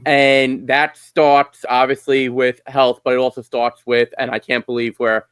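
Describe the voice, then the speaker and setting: Clear-voiced man hosting a sports podcast. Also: mid-range voice, little pitch movement, unhurried, fairly bright tone, no echo. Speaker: podcast host. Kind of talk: conversational sports interview.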